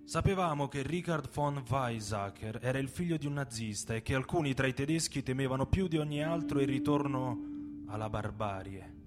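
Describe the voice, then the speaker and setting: Guy doing a serious literary reading on radio, medium pitch, measured, guitar playing behind him. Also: expressive. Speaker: reader in a radio reading performance. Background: plucked guitar music.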